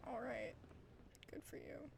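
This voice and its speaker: A woman's voice: a little slow, a crowd in the background. A woman hosting a gaming video with casual playthrough commentary, informal and wry, often trailing off into muttered asides.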